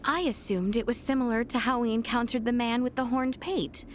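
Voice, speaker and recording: An actress playing a poised, inquisitive young noblewoman in a fantasy game, speaking in formal, measured phrases. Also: fairly high pitch, slight background noise, lightly acted. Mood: puzzled, composed. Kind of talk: video game character acting.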